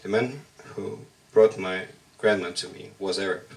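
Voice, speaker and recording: A man whose first language is Russian performs a spoken-word poem in measured, halting short phrases at a slam poetry workshop. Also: deep voice, far from the mic, rough recording.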